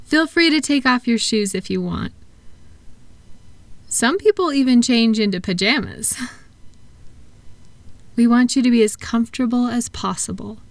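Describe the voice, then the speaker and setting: A woman playing a kind, unhurried attendant at a calming clinic, soft-spoken and reassuring in a relaxation roleplay. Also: fairly high pitch, dry recording. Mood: soothing, explanatory.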